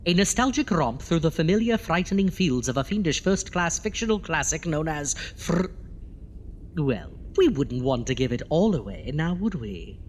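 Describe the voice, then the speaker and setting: A man's voice: fairly high pitch, big pitch swings, normal speed, a little hiss or hum. A man playing a pompous, exasperated doctor who hosts a campy horror comedy show, speaking in dramatic, alliterative flourishes. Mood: theatrical, sinister. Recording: music bed behind voice.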